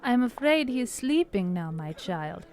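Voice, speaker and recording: A calm, motherly nun, voiced by a woman, speaks softly and soothingly. Her voice lilts up and down and sits a little high. A faint noise hums behind. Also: a little slow. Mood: gentle. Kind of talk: audio drama acting.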